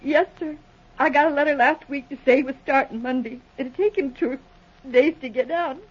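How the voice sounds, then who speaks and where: Meek grieving young woman acted by a woman, high thin pitch, timid, hesitant, naive, vintage radio acting, muffled recording. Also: normal speed, natural voice. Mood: subdued, earnest. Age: young adult. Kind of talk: 1940s radio drama acting.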